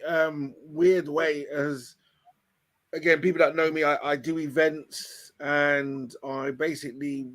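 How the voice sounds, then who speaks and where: Hoarse British man, guest founder, slightly high voice, rambling and full of ums. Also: a bit bright. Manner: rambling storyteller, many fillers and restarts. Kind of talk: casual chat on a video call show.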